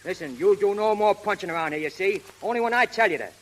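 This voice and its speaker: Tough american boxing manager, old radio play, high bright voice, slangy, hissy recording. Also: male voice, lively intonation, quick pace. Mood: combative. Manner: brash, slangy, ungrammatical. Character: tough, blunt, working-class boxing manager, tongue-tied in love. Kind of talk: 1930s radio acting.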